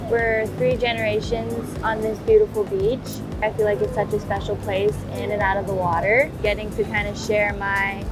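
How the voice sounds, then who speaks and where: A young professional surfer, a woman, speaks earnestly about home in a relaxed, filler-heavy way. Her voice sits at an ordinary middle pitch. Clear music plays beneath her throughout. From Hawaii, Waikiki. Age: young adult. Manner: earnest, many fillers like "you know" and "like". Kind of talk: interview talk. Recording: music bed underneath.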